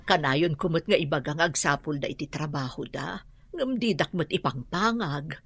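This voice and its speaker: A woman's voice: sits low, moderate pace, a bit bright, breathy edge, dry recording, exaggerated voice. A woman acting a humble, long-suffering older housemaid and mother in an Ilocano radio drama. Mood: weary.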